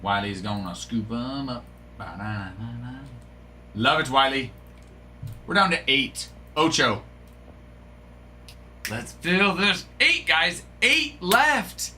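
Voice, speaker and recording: A man's voice: medium pitch, big pitch swings, slow pace, pauses often, bright, forceful tone, a little hiss or hum. Chatty man hosting a card break. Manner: rambling, chatty, talking to chat viewers. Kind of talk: casual live-stream chat.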